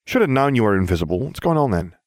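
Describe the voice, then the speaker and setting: A man's voice: medium pitch, big pitch swings, fast, a little mellow, no echo, studio quality, close to an everyday voice. A man voicing a nervous, grumbling teenage boy who blurts out his words. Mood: grumbly. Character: teenage boy, grumpy, jumpy, protective brother.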